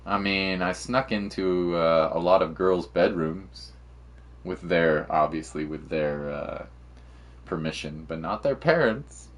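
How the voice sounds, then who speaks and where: Low-pitched, rambling male game streamer. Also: takes it slowly. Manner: rambling, teasing, slangy. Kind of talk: casual chat while playing.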